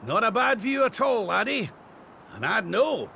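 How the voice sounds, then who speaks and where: A man's voice: high-pitched, big pitch swings, unhurried, gravelly, bright, forceful tone, a little hiss or hum, full character voice. A man playing a jovial, doting old Scottish grandfather in a video game, folksy and affectionate. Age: older.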